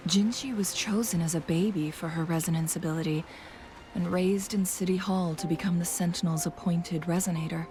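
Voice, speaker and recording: A woman playing a composed, serious young companion in a video game, speaking in a measured, thoughtful, earnest way. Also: lowish voice, steady tone, mellow, slight background noise, lightly acted. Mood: solemn, sympathetic. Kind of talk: scripted video game character dialogue. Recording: voice over game music.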